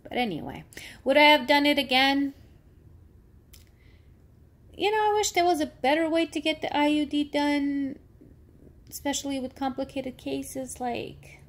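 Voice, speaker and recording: A woman in her thirties talking candidly in a personal vlog, rambling with frequent fillers; she works in healthcare. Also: high voice. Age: 30s. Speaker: vlogger; healthcare worker.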